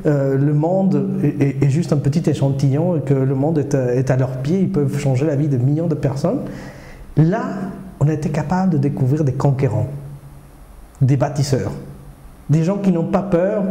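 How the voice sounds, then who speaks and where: A man's voice: on the high side, mellow. A man in his forties from South America, giving hesitant but earnest interview answers full of fillers, seated in a small studio with a lapel mic. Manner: hesitant, many fillers and restarts; earnest and persuasive, gestures with hands. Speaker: startup accelerator director. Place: small indoor studio, seated before a screen. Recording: roomy echo.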